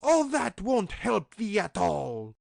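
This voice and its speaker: A man voicing a wicked old woman, a witch who is mocking and greedy, in a shrill, commanding voice. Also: huge pitch swings, takes it slowly, gravelly, a little mellow, no echo, clean studio sound, heavily acted. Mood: scolding.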